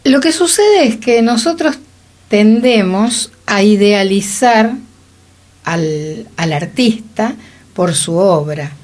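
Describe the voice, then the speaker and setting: A woman's voice: mid-range voice, expressive, slow pace, a little mellow. A woman with an Argentine accent in a conversational radio panel discussion.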